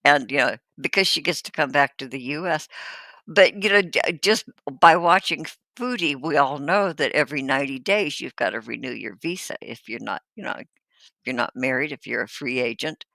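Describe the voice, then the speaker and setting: A woman co-host in a casual reaction chat, amused and chatty, with drawn-out asides. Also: sits low, pushed and bright, dry recording.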